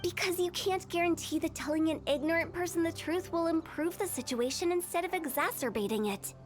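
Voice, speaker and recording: A woman's voice: extremely high pitch, fairly quick. A woman voicing a confident, theatrical young funeral director in a video game. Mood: earnest, storytelling. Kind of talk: English video game voice acting. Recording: game music playing behind.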